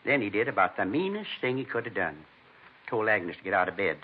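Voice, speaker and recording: A dull, faintly noisy vintage radio recording of an actor as a middle-aged American husband, shaken and indignant. His lowish voice is plain and earnest, halting and repeating itself as he gives his account. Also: lively intonation, lightly acted. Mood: distressed, bitter. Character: shaken, indignant fifty-two-year-old husband, a robbery victim. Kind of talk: 1950s radio acting.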